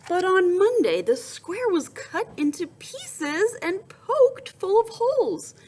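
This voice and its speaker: Lady teacher doing a kids read-aloud, slow and expressive, some background noise. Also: very high-pitched. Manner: expressive storytelling, pausing to ask questions and answer softly. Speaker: teacher reading a picture book aloud to young children. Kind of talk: children's read-aloud with asides and questions.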